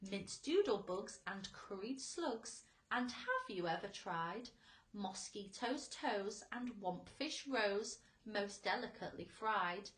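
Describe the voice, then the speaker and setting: A woman's voice: medium pitch, lively intonation, slow pace, fairly bright tone, reverberant, rough recording. A woman voicing a boastful, exuberant storybook centipede who declaims in rhythm. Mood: boastful, relishing. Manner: declamatory, rhythmic verse delivery. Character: boastful, exuberant, greedy centipede reciting a food song.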